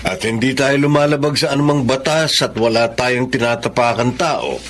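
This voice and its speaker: An actor playing a kindly married benefactor in a Filipino drama, speaking in an earnest, courteous, reassuring way. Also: male voice, medium pitch, moderate pace, a little hiss or hum, close to an everyday voice. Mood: earnest, encouraging.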